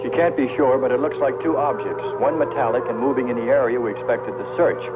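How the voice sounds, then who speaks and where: A man's voice: medium pitch, fast, narrow, tinny audio, loud music behind the voice, natural voice. An actor playing an eager, plain-spoken male crewman in a 1960s science fiction film, with a matter-of-fact delivery. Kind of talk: 1960s sci-fi film acting. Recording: thin band-limited old film soundtrack, faint noise.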